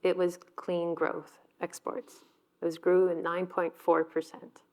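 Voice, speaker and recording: A woman with a somewhat low voice speaks on a panel at a business event. She talks briskly and knowledgeably. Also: little pitch movement, a little mellow. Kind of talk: panel discussion.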